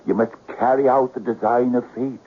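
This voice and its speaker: An actor playing a theatrical, insistent schemer of a friend, coaxing and portentous, in an old-time radio drama. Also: male voice, medium pitch, fairly even intonation, a little fast, natural voice. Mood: portentous pleading. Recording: tinny narrow-band radio recording, faint noise.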